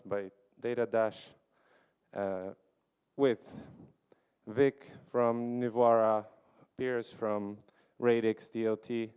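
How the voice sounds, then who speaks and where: Man, event host, very slow, very smooth clear voice, lowish pitch, hesitant intros. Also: a little mellow. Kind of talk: event announcement. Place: conference venue, live event.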